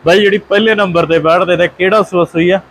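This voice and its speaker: A man's voice: sits high, steady tone, fast, a bit bright. A young man hosting a dairy channel, walking through cows for sale and praising them in rhyming, doubled-up lists. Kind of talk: livestock sale walkthrough. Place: open cattle shed outdoors.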